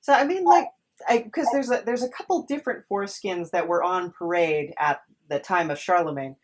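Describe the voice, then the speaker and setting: A woman hosting a podcast in casual, humorous conversation, speaking in a wry, halting way. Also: on the low side. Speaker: podcast host.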